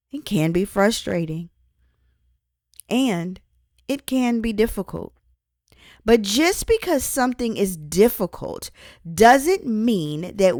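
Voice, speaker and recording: Slow, deliberate woman reading inspirational teaching. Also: fairly high pitch, darkish tone, no echo, studio quality. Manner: deliberate, emphatic phrasing with pauses. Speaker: author and host reading own book. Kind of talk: scripted inspirational audiobook-style reading with Bible teaching.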